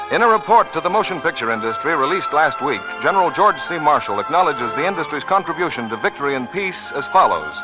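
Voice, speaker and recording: American guy announcing on 40s radio, scripted pitch, voice moves up and down a lot. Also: poor audio.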